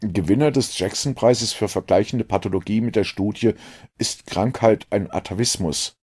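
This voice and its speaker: A man voicing an earnest, sceptical gentleman companion in an audiobook, speaking with emphatic, forceful delivery. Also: fairly low pitch, moderate pace, breathy edge, voice pushed up. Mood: reading aloud. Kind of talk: audiobook character reading.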